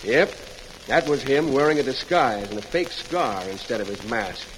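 A man's voice: mid-range voice, animated, moderate pace, lightly acted. Man acting a folksy sheriff, faintly crackly. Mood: satisfied, firm. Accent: American. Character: folksy, resolute male frontier sheriff. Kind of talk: old-time radio Western acting. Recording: old radio recording, faint crackle.

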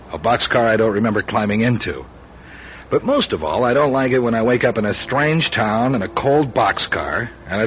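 Hard-boiled male private eye, American accent, lowish voice, swinging intonation, wry, world-weary, radio play. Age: middle-aged. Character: hard-luck, weary, tough 1940s male private investigator. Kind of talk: radio drama acting with first-person noir narration. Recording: muffled, noisy radio drama recording.

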